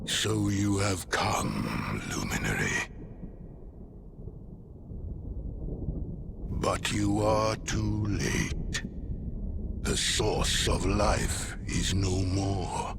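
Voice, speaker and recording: An actor playing a grandiose, contemptuous evil sorcerer overlord in a video game, with a pompous, declamatory delivery. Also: male voice, deep voice, animated, very slow, gravelly, noisy background, heavily acted. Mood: cold, gloating. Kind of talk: theatrical video game villain acting. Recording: loud orchestral game music behind.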